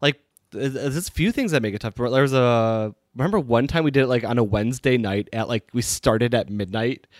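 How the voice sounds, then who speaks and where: A man's voice: mid-range voice, fairly quick, dry recording, studio quality. A man co-hosting a casual podcast, stuffy-nosed from a cold, rambling and laughing at himself. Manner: rambling, self-mocking, laughs often. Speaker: podcast co-host. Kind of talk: casual chat.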